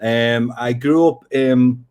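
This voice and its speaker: A man's voice: mid-range voice, fairly even intonation, slow, a little mellow. A man in his forties from near Liverpool, speaking with a Liverpool accent, telling his life story in a rambling, candid way on a video call. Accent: Liverpool English. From England, near Liverpool. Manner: rambling, candid, many restarts. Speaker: guest, breath coach. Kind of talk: casual interview storytelling.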